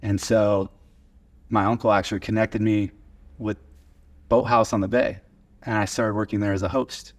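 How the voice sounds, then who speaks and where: A young American man in his twenties speaks in a fairly low voice. He tells personal stories in a casual, conversational way, as a guest in a podcast interview. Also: little pitch movement, moderate pace, long pauses. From small town in Oklahoma, USA. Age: 20s. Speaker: podcast guest, video studio owner. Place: converted garage video studio.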